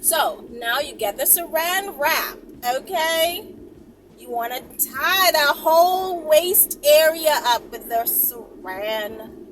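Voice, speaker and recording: A woman's voice: sits high, lively intonation, slow, fairly bright tone. A woman hosting a casual vlog, chatting in a rambling, playful and bossy way, as if giving viewers instructions. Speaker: vlog host. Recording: very noisy, distant mic, vehicle ambience.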